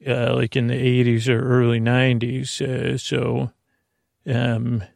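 A middle-aged American man with a very creaky, gravelly voice, recorded close and dry. He talks as a bedtime podcast host, meandering from thought to thought, stammering over words and letting sentences trail away. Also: mid-range voice, completely flat delivery, a little mellow, no echo. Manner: halting, digressive, with stammered repeats and trailing thoughts. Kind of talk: rambling, meandering bedtime monologue.

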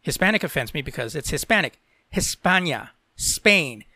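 Forceful, bright-voiced podcast host man. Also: on the high side, animated, moderate pace, no echo. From Latin America. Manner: opinionated, peppered with "you know". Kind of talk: opinionated chat and news read-aloud.

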